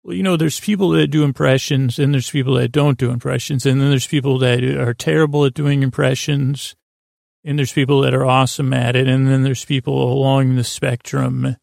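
Very creaky, gravelly man rambling softly along. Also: mid-range voice, steady tone, dry recording, clean studio sound. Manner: meandering, halting, self-deprecating. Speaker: podcast host. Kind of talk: rambling bedtime monologue.

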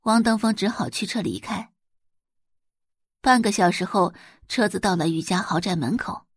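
A woman reading a novel as an audiobook narrator, with expressive scripted delivery. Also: mid-range voice, normal speed, breathy edge, no echo, studio quality.

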